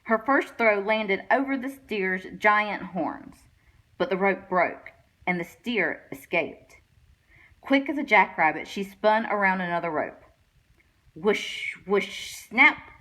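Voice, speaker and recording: A woman reading a children's picture book aloud with expressive storytelling delivery. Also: medium pitch, takes it slowly. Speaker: guest read-aloud reader.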